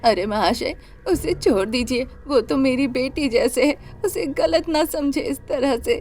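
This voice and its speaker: Humble, gentle beggar, voiced by a woman. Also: sits high, a little slow, soft background music. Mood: apologetic. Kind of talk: dramatised Hindi audio story.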